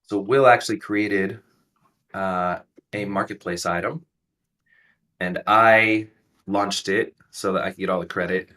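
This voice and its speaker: A man in his thirties hosting a casual livestream, his voice raspy from allergies and a stuffy nose, rambling and joking about himself. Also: low voice, steady tone, unhurried, pauses often, bright, forceful tone, far from the mic. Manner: rambling, self-deprecating joking. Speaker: livestream host.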